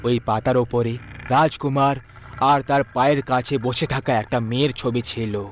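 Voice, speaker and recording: A man's voice: medium pitch, fairly even intonation, a little slow, a little hiss or hum. A man narrating a scripted horror story.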